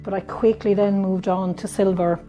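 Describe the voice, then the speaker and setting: Danish-born woman speaking with halting restarts. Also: medium pitch, steady tone, dark tone. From Denmark. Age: middle-aged. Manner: halting, many restarts and fillers. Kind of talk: casual interview. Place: her rural workshop.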